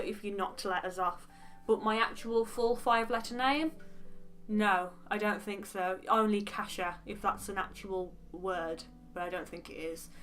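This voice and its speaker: A young woman with a West Midlands accent talks to the camera in a chatty, rambling way, full of frank little asides. Her voice sits a little on the high side. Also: a bit bright. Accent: West Midlands English. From English Midlands. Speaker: beauty vlogger. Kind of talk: casual get-to-know-me chat.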